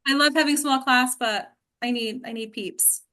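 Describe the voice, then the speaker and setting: A woman's voice: sits high, normal speed, sharp tone. A woman hosting an acting class discussion in a casual, informal and encouraging way.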